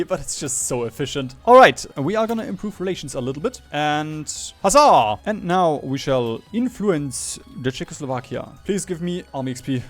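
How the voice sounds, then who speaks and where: A man commentating his strategy game play, animated and often laughing, sometimes swearing when plans go wrong. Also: fairly high pitch. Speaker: gaming YouTuber.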